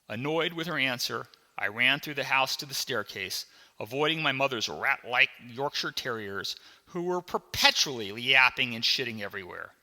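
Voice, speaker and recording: A man reading his own memoir as a scripted audiobook, with dramatic, deliberate storytelling. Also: mid-range voice, creaky, sharp tone, long reverb tail.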